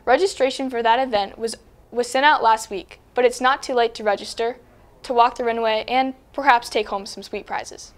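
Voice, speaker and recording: A freshman girl with a slightly high voice co-anchors school news. She reads steadily and keeps it plain. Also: moderate pace. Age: teens.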